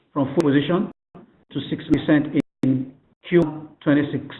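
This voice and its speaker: A man formally presenting financial results on a conference call, reading figures aloud in a halting way. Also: fairly high pitch. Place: conference call phone line. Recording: tinny, echoey telephone conference line.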